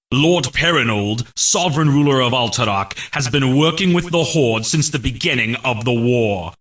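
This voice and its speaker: Fantasy game military herald, solemn, formal, measured delivery, mid-pitched voice, grave and declamatory. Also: male voice, a bit bright, far from the mic. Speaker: mission briefing narrator. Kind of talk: scripted game narration, military briefing.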